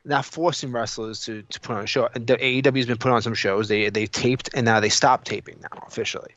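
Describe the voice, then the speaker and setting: A man's voice: mid-range voice, fairly even intonation, fast, a bit bright, no room sound, dull audio. A man co-hosting a podcast, chatting casually about his opinions in a blunt, emphatic, profane way. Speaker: podcast co-host.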